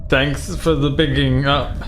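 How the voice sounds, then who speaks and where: A man's voice: medium pitch, little pitch movement, unhurried, a bit bright. A man chatting casually as a player in a tabletop role-playing game. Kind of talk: casual chat. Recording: faint background noise.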